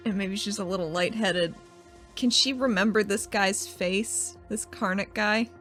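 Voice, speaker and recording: A woman's voice: mid-range voice, a little slow, fairly bright tone. Woman gaming player, music behind. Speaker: player in a role-playing podcast. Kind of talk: casual tabletop roleplay chat.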